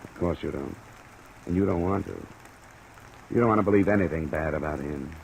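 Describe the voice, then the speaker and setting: A very low male voice plays a hard-boiled insurance investigator in a vintage radio drama. He is blunt, cynical and keeps pressing. A faint hiss sits under the old recording. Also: a little fast, gravelly, low-quality recording. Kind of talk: old-time radio detective drama acting.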